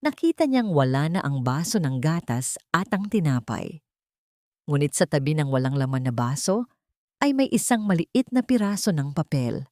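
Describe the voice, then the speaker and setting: A woman narrating a scripted dramatic story in a measured, solemn manner. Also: low-pitched, big pitch swings, a little mellow, no room sound, studio quality.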